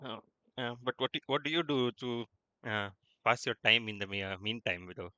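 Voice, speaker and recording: A man chatting casually on a game livestream, halting and repeating himself as he reacts. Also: medium pitch, sharp tone, smooth, no room sound, lo-fi sound. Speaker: game streamer. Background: game music.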